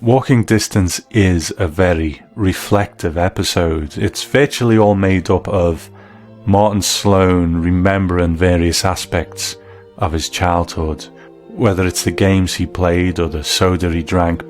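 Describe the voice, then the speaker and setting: A man's voice: low-pitched, steady tone, unhurried, a little mellow. A man presenting scripted documentary commentary, introducing and explaining clips in an orderly way. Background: music bed.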